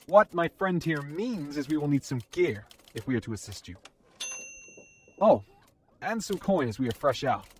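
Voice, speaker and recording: A man's voice: on the high side, lively intonation, normal speed, slight background noise, close to an everyday voice. A man playing a polite, level-headed adventurer with formal wording in a fantasy audio drama. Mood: formal, businesslike.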